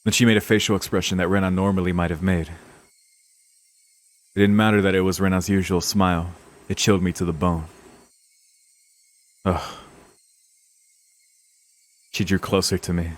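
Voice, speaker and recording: A man streaming a visual novel, reading the narration aloud with dramatic, casual, sweary reactions. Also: low-pitched. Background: game music.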